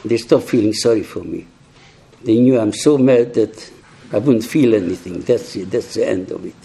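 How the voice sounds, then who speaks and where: An elderly man in his eighties or nineties giving a personal testimony to young people. He talks slowly and reflectively, in halting phrases with frequent restarts, and says he is hard of hearing. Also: on the low side, gravelly, dark tone, slight background noise. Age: older, 80s or 90s.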